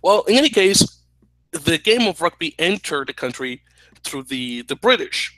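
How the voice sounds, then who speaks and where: A man's voice: on the high side, big pitch swings, unhurried, bright, forceful tone, dry recording, low-quality recording. A young Dominican man co-hosting a podcast, explaining at length with an opinionated, chatty manner, on a headset mic in a small room at home. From Dominican Republic. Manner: explanatory, opinionated, restarts phrases. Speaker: podcast co-host. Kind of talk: casual chat.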